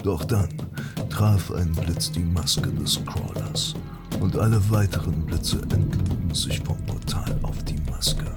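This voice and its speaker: A man's voice: sits low, slow pace. A man reading scripted narration for a fantasy audio drama. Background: loud music.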